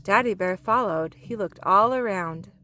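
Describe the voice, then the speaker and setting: A woman narrating a children's picture book aloud in an expressive storytelling style. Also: mid-range voice, a little slow, slight background noise.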